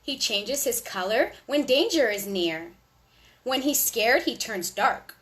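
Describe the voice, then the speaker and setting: A woman with a high voice reads a picture book aloud to young children. Her delivery is slow and sing-song, and she pauses for small asides to the kids listening. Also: big pitch swings, a bit bright. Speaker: children's storytime host.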